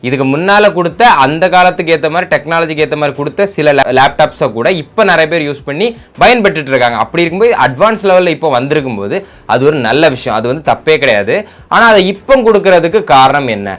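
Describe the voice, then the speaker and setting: A man's voice: a little high, animated, quick pace, fairly bright tone. A man giving opinionated reaction commentary, argumentative, full of rhetorical questions and mixing in English words. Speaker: YouTube political commentator.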